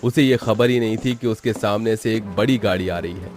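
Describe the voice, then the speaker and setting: A man's voice: on the low side, normal speed, a little mellow. Male dramatic story narrator over music. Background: background music.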